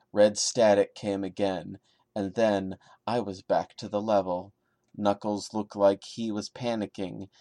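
A man with a fairly low voice works as a podcast host performing a creepy story. His delivery is theatrical and mocking, in a dry, close-sounding recording. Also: mellow, dry recording. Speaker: podcast host reading and commenting. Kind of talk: dramatic horror story reading with sarcastic asides.

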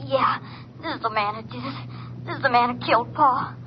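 A performer playing an earnest, halting young boy witness speaking over the telephone in a 1950s radio drama. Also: mid-range voice. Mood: shaken, earnest. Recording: muffled, faintly noisy old radio recording.

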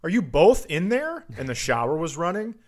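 A man's voice: fairly high pitch, very animated, normal speed. A middle-aged man co-hosting a comedy podcast in casual banter, telling crude stories and acting out the lines he quotes.

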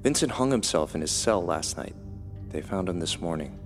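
An actor playing a critical male investor in an audio drama, with a measured, businesslike manner. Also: deep voice, lively intonation. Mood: grave, measured. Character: smooth, critical male investor on a company board. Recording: faint music behind.

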